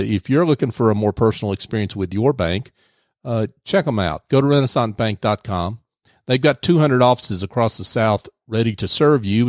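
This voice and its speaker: Relaxed radio host, dry studio sound. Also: male voice, fairly low pitch, no echo. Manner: relaxed, chatty, frequent fillers. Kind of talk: casual radio interview.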